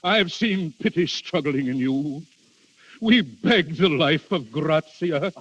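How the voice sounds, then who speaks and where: A man's voice: a little high, animated, takes it slowly, a bit bright, slightly rough, full character voice. An actor playing a desperate noble duke and father, formal and earnest in 1930s radio drama style. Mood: grave pleading. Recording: muffled old 1930s radio recording.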